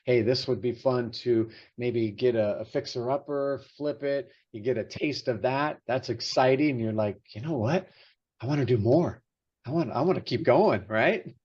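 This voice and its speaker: A man's voice: medium pitch, moderate pace, muffled recording. A man hosting a podcast interview, upbeat and chatty, with frequent fillers. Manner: upbeat, hesitant with many fillers. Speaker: podcast host. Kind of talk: casual interview chat.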